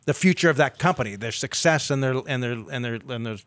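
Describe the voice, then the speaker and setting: A man in his fifties co-hosting a call-in advice show, explaining things in a measured way with figures. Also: mid-range voice, a bit bright, dry recording. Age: 50s. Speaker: radio show co-host. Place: studio.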